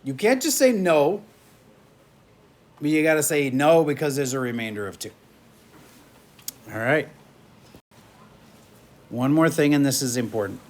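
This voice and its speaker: A man's voice: fairly high pitch, animated, a little slow, pauses often. A male math teacher lecturing a classroom in a chatty, joking way while losing his voice. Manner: chatty, jokey, moves around the room.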